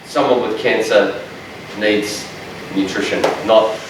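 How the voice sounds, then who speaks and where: A man, a dietitian giving a lecture to health workers in a large room, with a loud, strong voice and a passionate, joking manner. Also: fairly low pitch, fairly even intonation, slow, slight background noise. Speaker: dietitian presenter. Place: large echoey training room. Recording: echoey room, speaker far from mic.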